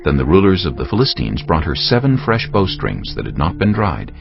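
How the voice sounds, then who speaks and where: A man narrates dramatized scripture in a deep, creaky voice. His delivery is solemn and dramatic. Music plays clearly underneath. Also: very animated, brisk. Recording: dull band-limited audio over music.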